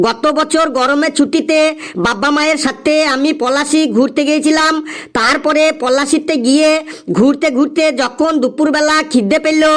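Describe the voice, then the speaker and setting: A man plays an eager cartoon schoolboy with a very high voice. He is cheeky and earnest, reciting like a pupil showing off an essay in class. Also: fairly bright tone. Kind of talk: comic cartoon dialogue.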